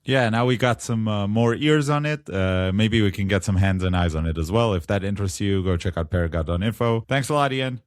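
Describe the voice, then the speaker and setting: A man with an Israeli accent hosting a casual tech podcast, chatty and relaxed. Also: lowish voice, brisk, hardly any pauses, no room sound, clean studio sound. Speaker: podcast host.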